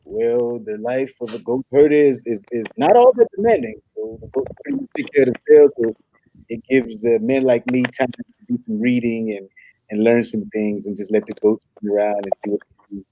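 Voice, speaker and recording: A man reading the part of an earnest young African prince who poses as a humble goat herder, speaking haltingly in a comedy table read. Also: medium pitch, animated, warm tone, a little hiss or hum, lightly acted, low-quality recording. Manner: hesitant, halting delivery with repeated words.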